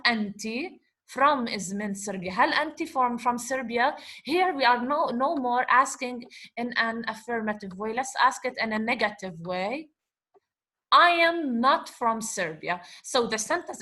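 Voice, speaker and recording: A woman teaching an Arabic lesson over slides, explaining slowly and asking questions she then answers herself. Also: on the high side, sharp tone. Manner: switches between English and Arabic words. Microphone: computer mic, voice-over on slides.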